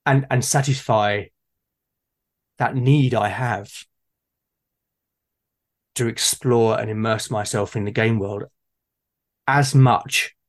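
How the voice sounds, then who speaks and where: A man in casual, reflective conversation, speaking in halting, thoughtful phrases. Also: lowish voice. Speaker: podcast speaker, solo gamer.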